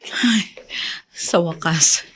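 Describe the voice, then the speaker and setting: An actress playing a determined woman who thinks aloud in a radio drama, with a husky voice. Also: lowish voice, drawn-out words, a bit bright, full character voice. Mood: searching, relieved.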